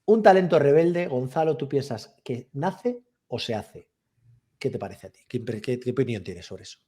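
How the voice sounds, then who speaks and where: A man's voice: medium pitch, lively intonation, moderate pace, dark tone. A man hosting a casual interview, chatty and eager, often repeating his questions. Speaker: interview host.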